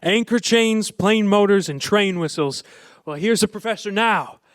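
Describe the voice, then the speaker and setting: An earnest young small-town fellow in an old-fashioned radio drama. His high voice halts and stammers in a folksy way, and the sound is very dry. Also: measured pace, smooth, no room sound. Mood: cheerful, eager. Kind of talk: live radio play acting in an old-fashioned manner.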